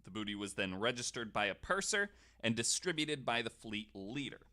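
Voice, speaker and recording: A man's voice: mid-range voice, big pitch swings, moderate pace, pushed and bright. A man hosting a podcast, casually telling a story from notes with wry, jokey asides.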